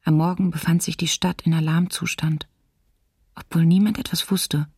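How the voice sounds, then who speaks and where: Breathy, creaky young diarist. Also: female voice, on the low side, fairly even intonation, moderate pace, dry recording, studio quality, distant mic. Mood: subdued, grave. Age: young adult. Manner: quiet, understated. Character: subdued young female student reading her wartime diary. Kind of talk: intimate scripted diary reading in radio drama.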